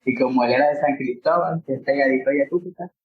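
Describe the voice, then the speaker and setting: A man's voice: medium pitch, fast talker, breathy edge. A man from Venezuela with a Venezuelan accent, casually telling a personal story over a tinny, phone-like recording. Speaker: interviewee. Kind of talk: personal testimony.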